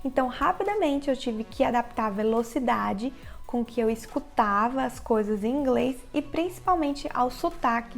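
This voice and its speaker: A woman with a Brazilian Portuguese accent explaining English listening tips directly to the viewer in a clear, instructional vlog narration. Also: on the high side. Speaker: English teacher, channel host. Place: living in the United States.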